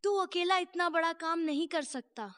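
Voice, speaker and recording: A woman's voice: very high voice, normal speed, a bit bright, no echo, clean studio sound. A woman voicing a wise, cautious donkey in a Hindi children's cartoon, speaking earnestly. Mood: grave warning. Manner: grave, earnest warning. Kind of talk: Hindi children's animated moral story.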